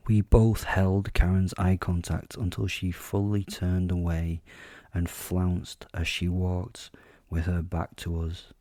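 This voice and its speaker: A man's voice: sits low, mellow, dry recording, studio quality. A man playing a wry werewolf killer who narrates his own story in a first-person audio drama.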